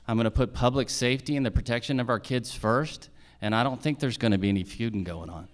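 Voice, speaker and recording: A man's voice: medium pitch, fast. An American man delivering a firm political speech as a judicial candidate, building points through rhetorical repetition.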